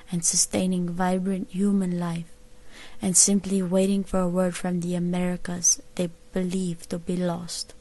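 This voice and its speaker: Slow, mellow-voiced wistful telegraph woman acted by a woman. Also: lowish voice. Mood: wistful, hopeful. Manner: slow, reflective, measured storytelling. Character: wistful, hopeful young woman telegraph operator of post-plague 1880s America. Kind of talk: scripted audio drama monologue.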